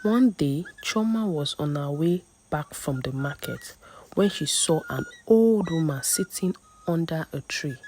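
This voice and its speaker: Woman storyteller, Nigerian accent, slow and measured with dramatic pauses, lively melodic range, scripted folktale read. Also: deep voice, slight background noise, low-quality recording.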